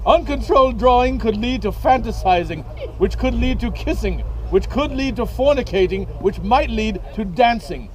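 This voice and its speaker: A man's voice: very high-pitched, lively intonation, moderate pace, darkish tone, poor audio. A man voicing a stern, pious female headmistress, scolding and preachy, outdoors. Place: outdoors at a medieval combat event.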